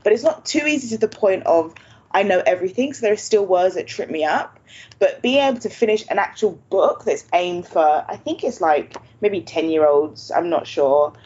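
A woman's voice: on the low side, animated, moderate pace, darkish tone, breathy edge. A native English-speaking woman hosting a language-learning video, talking casually and laughing often. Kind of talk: casual chat. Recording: dull lo-fi sound.

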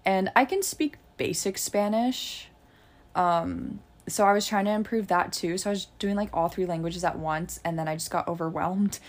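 A woman's voice: fairly low pitch, normal speed. A young American woman chatting casually as a co-host, informal and peppering her sentences with "like". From United States. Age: young adult. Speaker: podcast co-host. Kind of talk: casual chat.

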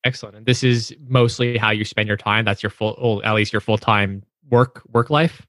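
A man's voice: lowish voice, fairly even intonation, moderate pace, a bit bright, no echo, studio quality. A man hosting a podcast, asking questions in a friendly, curious way with some stumbles. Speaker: podcast host. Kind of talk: podcast interview.

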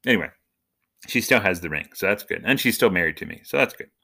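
A man with a fairly low voice that comes across bright and punchy. He hosts a podcast and speaks in a nerdy, jokey way. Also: lively intonation, fast talker, creaky. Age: adult, husband and father. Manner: jokey, self-described nerd. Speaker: podcast host and church minister. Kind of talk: casual humorous podcast monologue.

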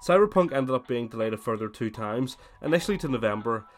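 A man with a cold hosting his gaming podcast, chatting casually and then reading a scripted essay in steady narration. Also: mid-range voice, fast, faint music behind. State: has a cold, voice slightly worn. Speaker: podcast host.

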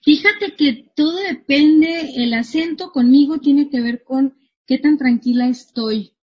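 High breathy lady in her 30s, chatty coach, laughs a lot, kinda muffled audio. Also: unhurried. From northwestern Mexico. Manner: chatty, animated, laughs easily. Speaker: guest, marketing coach. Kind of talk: casual interview chat. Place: her home.

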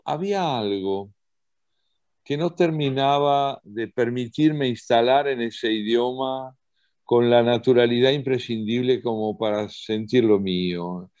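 Rioplatense man, unhurried and thoughtful, warm low tone, dry slightly muffled audio. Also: no echo. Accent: Rioplatense Spanish. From Argentina. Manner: measured, thoughtful, with slow hesitations. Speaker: poet and translator, interview guest. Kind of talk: reflective interview answers.

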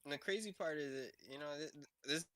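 A man's voice: a little high, quick pace, fairly bright tone, no echo. A man co-hosting a casual podcast, reacting to clips with loose, restarting talk and laughter. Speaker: podcast co-host. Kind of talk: casual chat.

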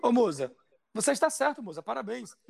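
A man with a high, very lively voice, joking and teasing his friends in a loose chat. He has a cold, and his pitch swings widely as he goes. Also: fairly quick, vocal fry, a crowd in the background. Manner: teasing, playful. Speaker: co-host.

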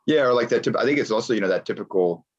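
Very low voiced american guy, emphatic, keeps repeating himself, dull muffled sound. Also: expressive, rapid-fire, crowd noise. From United States. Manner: emphatic, repeats his points. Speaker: guest. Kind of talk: casual interview chat.

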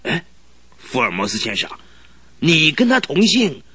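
An actor playing an excitable, crafty old duke in his seventies who stammers and exclaims in a radio play. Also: a little high, measured pace, heavily acted. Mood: grateful, curious. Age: 70s. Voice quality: flustered, full of exclamations and stammering. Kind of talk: 1970s Chinese radio drama acting.

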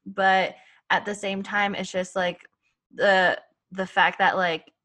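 A woman's voice: mid-range voice, flat delivery, slow pace, pushed and bright. A woman from Canada co-hosting a podcast, speaking earnestly and thoughtfully with frequent "like"s. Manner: earnest, thoughtful, uses "like" often. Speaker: podcast co-host. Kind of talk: casual conversation.

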